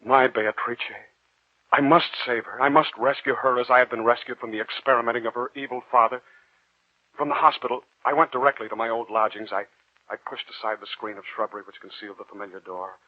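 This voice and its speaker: A man's voice: mid-range voice, fairly even intonation, a little fast, dry recording, lightly acted. An actor playing an ardent, anguished young man in love, in an earnest, theatrical 1940s radio drama style. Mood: haunted, determined. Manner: earnest, theatrical, impassioned delivery. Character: ardent, anguished young male lover narrating his tragic tale. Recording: muffled 1940s radio recording, background music.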